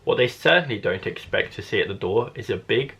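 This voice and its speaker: A man's voice: fairly low pitch, animated, brisk, vocal fry, fairly bright tone, slightly rough. A man, a primary school teacher, reading a children's storybook expressively to young listeners.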